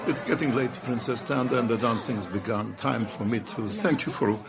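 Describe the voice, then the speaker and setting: An actor playing a suave Soviet deputy minister, formal and smooth with veiled menace, in a radio drama. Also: male voice, mid-range voice, a little fast, a crowd in the background, natural voice. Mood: smooth, pointed. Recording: music playing behind the scene.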